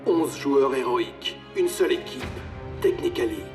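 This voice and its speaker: A man performs a grandiose male announcer for a cartoon team promo. He speaks in a mid-pitched, emphatic, portentous trailer style, with clearly audible music underneath. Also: animated, a little slow, close to an everyday voice. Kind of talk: dramatic trailer narration in a cartoon.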